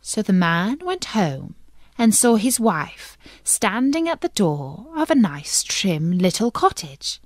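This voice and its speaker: A woman's voice: medium pitch, big pitch swings, takes it slowly, dry recording, studio quality. A woman reading a children's fairy tale as scripted storytelling narration.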